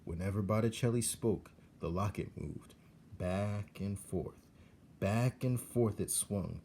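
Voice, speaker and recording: A man narrating a storybook aloud as a teacher would, with deliberate, dramatic phrasing. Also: fairly low pitch, vocal fry, mellow, slight background noise.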